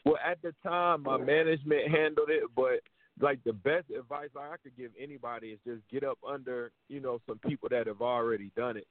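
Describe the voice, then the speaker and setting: A man's voice: medium pitch, fairly even intonation, fairly quick. An American man talking casually as an interview guest, a rapper giving earnest advice in slangy everyday speech. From United States. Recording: narrow tinny phone-like sound.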